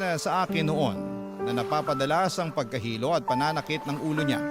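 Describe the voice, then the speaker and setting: A Filipino man with a fairly high voice narrates a dramatic radio story in the first person. Loud music plays underneath his reading.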